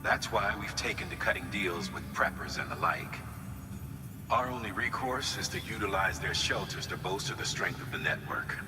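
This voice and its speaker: An actor playing a pragmatic mission commander in a video game, matter-of-fact and measured. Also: male voice, sits low, lightly acted. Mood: businesslike. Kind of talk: video game cutscene acting. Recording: long hall reverb with music behind.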